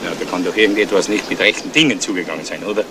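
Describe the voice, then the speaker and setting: An actor playing a fretful, suspicious insurance agent in a 1970s German crime radio play, talking in an insinuating, emphatic way. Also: male voice, sits low, very fast, background noise, natural voice. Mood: worried, insistent.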